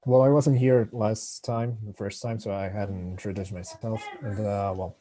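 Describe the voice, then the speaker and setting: A man, speaking at a relaxed, measured pace on a video call. His voice is mellow and dark. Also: on the low side. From Mexico City. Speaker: French and Spanish teacher. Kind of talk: self-introduction on video call.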